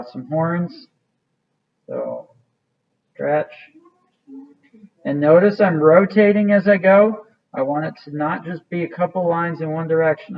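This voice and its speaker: A man's voice: fairly high pitch, gravelly, highs cut off. A man teaching a hands-on craft demonstration in a casual, informal way, thinking aloud as he works. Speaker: art teacher.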